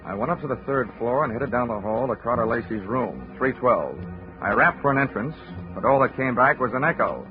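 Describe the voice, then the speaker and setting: An actor playing a wisecracking, unflappable male insurance investigator in old-time radio style, with a wry, deadpan, commanding delivery. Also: mid-range voice, fairly quick, natural voice. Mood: dry narration. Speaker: detective lead and narrator. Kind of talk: old-time radio detective acting, hard-boiled narration. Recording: muffled vintage radio broadcast, music.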